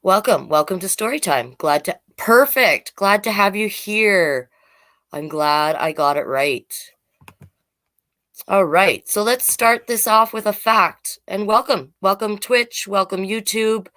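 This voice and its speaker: A woman's voice: mid-range voice, slow, a bit bright. A woman hosting a children's storytime livestream, chatting casually and reading out facts, often getting sidetracked and correcting herself.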